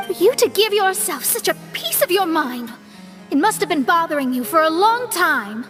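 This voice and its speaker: A teasing young anime game sidekick. Her voice is extremely high and swings about in pitch as she chides, with music underneath. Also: very animated, moderate pace, a bit bright, exaggerated voice.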